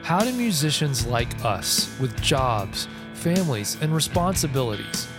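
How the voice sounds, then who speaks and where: A man voices a scripted show intro in a measured, announcer-like way. His voice sits in the middle range. Clear guitar music plays beneath him. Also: medium pitch, very animated. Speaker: show host.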